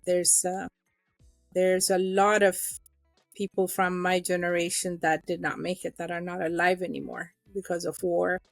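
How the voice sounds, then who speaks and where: Interview guest: middle-aged woman from Ethiopia, low-ish voice, dry close sound, soft music under. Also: flat delivery, moderate pace, gravelly, no echo. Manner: earnest, emotional, with fillers. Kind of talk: personal interview storytelling.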